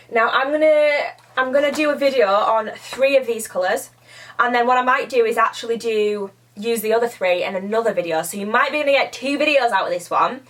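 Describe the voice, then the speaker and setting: A woman's voice: on the high side, fairly bright tone. A young woman with a slight sniffly cold, chatting casually through a nail tutorial from her bedroom. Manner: chatty, bubbly, restarts mid-sentence. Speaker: beauty YouTuber. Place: bedroom filming set, indoors.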